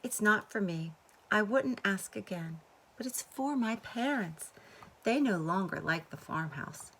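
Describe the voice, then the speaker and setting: A woman's voice: mid-range voice, animated, unhurried, fairly bright tone, a little hiss or hum, poor audio. A woman voicing a shy, dutiful, reluctant young peasant girl in a storybook. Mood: pleading, ashamed.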